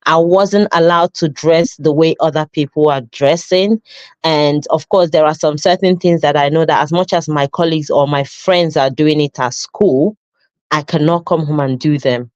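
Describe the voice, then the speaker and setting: A woman's voice: deep voice, darkish tone, dry recording. A woman from Nigeria with a Nigerian accent, a custody nurse in her thirties telling her life story in an interview, reflective and full of fillers and restarts. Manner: reflective, with frequent fillers and self-corrections. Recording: dull lo-fi sound.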